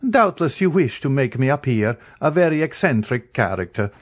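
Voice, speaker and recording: A man's voice: mid-range voice, sing-song, normal speed, pitched above the performer's own voice. A man voicing a proud, mocking, coldly menacing aristocratic count in an audiobook, in a haughty, formal, declamatory manner.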